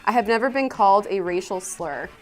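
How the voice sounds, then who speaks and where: A woman's voice: mid-range voice, expressive, brisk, faint music behind. A young woman with a Midwestern American accent, chatting casually and playfully as she reads out a quiz, poking fun at herself. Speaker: vlogger. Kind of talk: casual chat while reading out a quiz.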